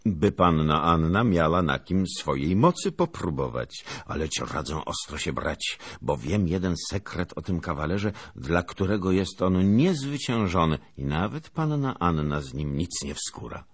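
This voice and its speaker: A man's voice: low voice, expressive, unhurried, hardly any pauses, breathy edge, no room sound, heavily acted. A man voicing a gallant young lieutenant of old, bantering with emphatic mock courtesy in a storybook reading. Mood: teasing. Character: gallant, teasing male cavalry lieutenant of old.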